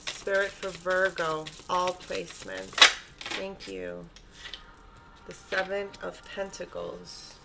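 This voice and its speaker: A woman with a stuffy, congested nose giving a gentle, reassuring spiritual tarot reading and often checking in with her listeners. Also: lowish voice, big pitch swings, slow, vocal fry, heavy background noise. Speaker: tarot reader.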